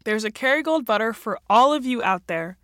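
A woman's voice: a little high, animated, brisk, fairly bright tone, no room sound, studio quality. A woman hosting a food podcast, reading an ad and asking upbeat interview questions. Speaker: podcast host. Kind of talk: interview and read ad. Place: recording studio.

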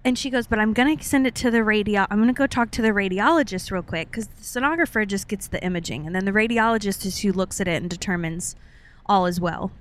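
A woman's voice: mid-range voice, fast talker. A young American woman co-hosting a podcast, telling personal stories in an informal, chatty way with playful wording. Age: young adult. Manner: informal, chatty, uses playful made-up words. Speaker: podcast co-host. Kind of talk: casual chat, personal storytelling.

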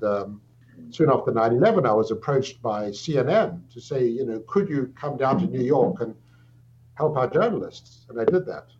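A middle-aged man with a South African accent, a psychiatrist answering an interview question with measured, thoughtful speech and frequent pauses and restarts. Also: mid-range voice, darkish tone. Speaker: guest, psychiatrist. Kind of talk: interview answer, recounting background. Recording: dull lo-fi audio with faint hum.

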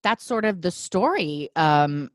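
A woman's voice: lowish voice, expressive, slow pace, no room sound, studio quality. A woman hosting a casual chat in a thoughtful, wry way with many restarts, over a video call.

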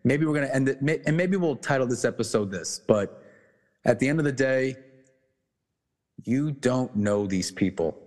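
A man co-hosting a casual podcast, talking bluntly with many restarts and swearing, his voice going hoarse. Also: medium pitch, expressive, normal speed, long pauses, a little mellow, big-room sound. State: losing his voice. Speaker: podcast co-host.

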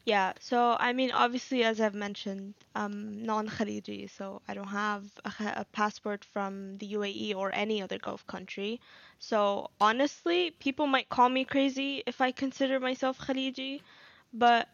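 Young Egyptian woman, gravelly, dull sound. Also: mid-range voice, fairly even intonation, moderate pace, fairly bright tone, no echo. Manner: earnest, talks in long run-on thoughts with many "like"s. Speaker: guest. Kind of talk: casual podcast chat.

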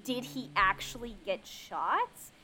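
A woman's voice: a little high, slow pace, a little hiss or hum. Chatty woman podcast co-host. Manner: animated, lots of "like", acts out quotes. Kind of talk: casual chat.

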